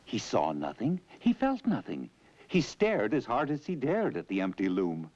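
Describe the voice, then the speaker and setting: A man's voice: medium pitch, huge pitch swings, normal speed, a little mellow. A man narrating a scripted fairy tale as a theatrical storyteller. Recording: dull lo-fi old tape, music behind.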